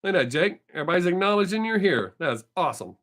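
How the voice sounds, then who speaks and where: A man's voice: sits high, very animated, fast, fairly bright tone. An older man, chatting casually with viewers on his live stream, rambling and correcting himself as he goes, his voice still not fully recovered.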